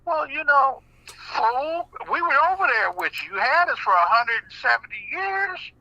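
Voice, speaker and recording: A man talking on a radio show in an animated, folksy way, often repeating words as he goes. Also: very high-pitched, moderate pace, highs cut off. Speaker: guest speaker.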